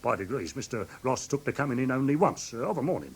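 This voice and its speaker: An actor playing a fussy, aggrieved, simple-minded London shopkeeper with an English accent in a radio drama, halting and deferential as he talks. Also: medium pitch, sing-song, a little hiss or hum. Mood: plaintive. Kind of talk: classic British radio drama acting.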